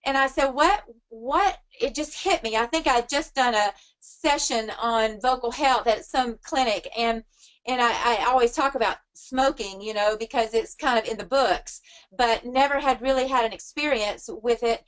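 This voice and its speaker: Female vocal coach, middle-aged, high register, chatty anecdotal teaching style. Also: moderate pace, a bit bright, low-quality recording. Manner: conversational, acts out quoted remarks. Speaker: vocal coach giving a webinar. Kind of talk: informal teaching with personal anecdotes.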